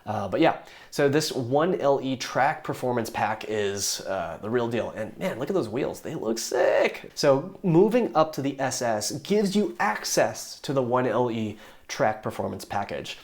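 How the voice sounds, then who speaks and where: A hoarse young man talking casually and enthusiastically, rambling with fillers and restarts as he explains things to his viewers. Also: mid-range voice, big pitch swings, measured pace. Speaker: YouTube car reviewer.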